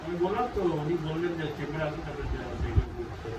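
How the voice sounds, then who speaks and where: A man's voice: fairly high pitch, expressive, fast talker. A man with a West Bengal accent talking casually on a phone call, giving brief replies and repeating himself. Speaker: dentist. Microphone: picked up from far off by vlogger's device. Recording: distant, echoey, very noisy room recording.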